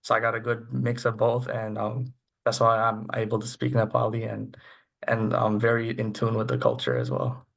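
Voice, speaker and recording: A man's voice: lowish voice, moderate pace, creaky. A young man from Florida, a guest on an interview podcast, answering casually and filling his talk with "you know". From Florida, USA. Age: young adult. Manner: relaxed, many "you know" fillers. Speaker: guest, youth volunteer.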